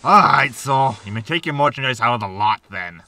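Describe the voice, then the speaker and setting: A man's voice: medium pitch, lively intonation, normal speed, creaky, sharp tone, recorded from a distance, a little hiss or hum, full character voice. An actor playing a smarmy, irritable male used-spaceship salesman in a sci-fi comedy audio drama. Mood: irritated.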